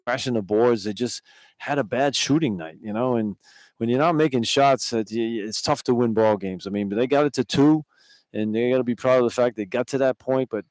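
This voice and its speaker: A man's voice: mid-range voice, a little fast, a little mellow, no room sound, poor audio. Older male color analyst, plainspoken, dry sound. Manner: plainspoken, repeats words as he goes. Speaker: radio color analyst, former player. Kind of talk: casual conversational post-game analysis.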